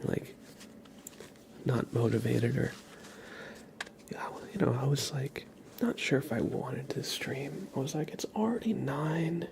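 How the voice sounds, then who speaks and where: A man doing a live ASMR stream, chatting casually with viewers in a soft, breathy whisper and rambling conversationally. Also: medium pitch. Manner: rambling, conversational, addresses chat by name.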